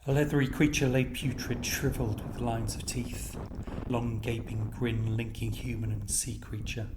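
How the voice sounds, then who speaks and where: A man reading poetic scripted narration in measured, deliberate phrases. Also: mid-range voice, little pitch movement, roomy sound, background noise.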